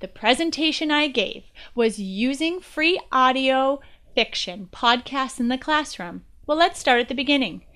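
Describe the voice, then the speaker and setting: American female guest presenter, slightly high voice, chatty educational podcast read. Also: expressive, unhurried, a bit bright, clear, resonant tone.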